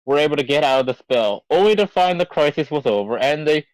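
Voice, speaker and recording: A man's voice: fairly high pitch, lively intonation, fast, no echo, poor audio. A man reading aloud casually on a livestream, adding offhand, muttered comments. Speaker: livestream co-reader.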